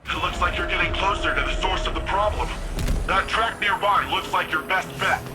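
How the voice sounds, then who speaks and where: A man's voice: high-pitched, steady tone, moderate pace, over loud music, close to an everyday voice. A man playing an earnest, wry, scrappy young city defender in a game, talking informally. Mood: concerned. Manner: informal, chatty. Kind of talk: conversational game character acting.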